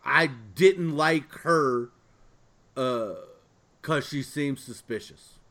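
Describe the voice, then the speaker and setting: A man's voice: on the high side, extremely slow, pushed and bright. A man hosting a podcast in casual chat with friends, informal and given to swearing. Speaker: podcast host.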